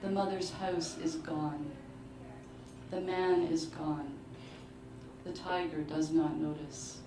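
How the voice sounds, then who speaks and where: A woman reads short fiction aloud in a low voice, taking her time over each phrase. She is at a live launch, picked up from a distance in an echoing room with clear background noise. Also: steady tone, slow pace. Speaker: author reading at a book launch. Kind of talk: literary reading of short fiction. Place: live book launch in an indoor venue. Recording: roomy, distant mic, clearly noisy live venue.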